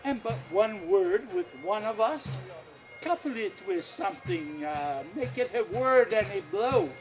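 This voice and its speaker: A man's voice: high-pitched, big pitch swings, measured pace, loud music behind the voice, full character voice, poor audio. A man playing a witty, quarrelsome pirate swordsman, trading playful insults. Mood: taunting.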